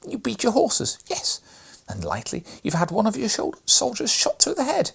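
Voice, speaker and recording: A man voicing a tender, tearful little girl in a read-aloud storybook, pleading in a high voice. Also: wildly swooping pitch, moderate pace, creaky, a bit bright, breathy edge, exaggerated voice.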